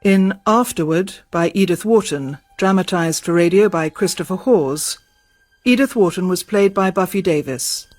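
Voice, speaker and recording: A woman's voice: on the low side, normal speed. A woman reading scripted radio drama credits as a closing announcer. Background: faint music.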